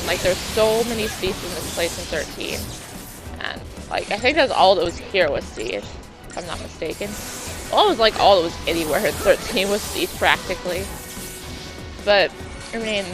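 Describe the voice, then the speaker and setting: A woman's voice: mid-range voice, vocal fry, poor audio. A woman with a sore throat, hosting a let's play in chatty, rambling, casual gameplay commentary.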